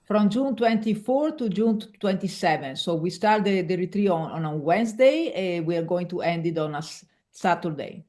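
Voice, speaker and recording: Italian woman speaking animated English. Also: fairly low pitch, moderate pace, few pauses. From Veneto, Italy. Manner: animated, often restarts words while searching for English phrasing. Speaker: guest, executive coach. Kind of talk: casual interview conversation.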